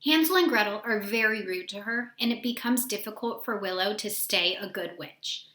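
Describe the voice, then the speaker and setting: Woman, bright and a little high, expressive storybook reader who quizzes kids; roomy echo. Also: normal speed. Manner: expressive, asks listeners questions. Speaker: read-aloud host for children. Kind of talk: children's storybook read-aloud.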